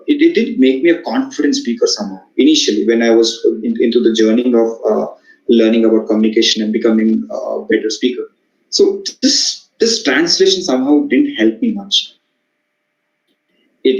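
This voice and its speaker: A man's voice: mid-range voice, a little mellow. Earnest South Indian man, echoey talk. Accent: South Indian English. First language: Tamil. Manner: earnest, repetitive, frequent fillers and restarts. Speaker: guest speaker. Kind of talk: motivational talk on communication. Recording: echoey room, dull sound.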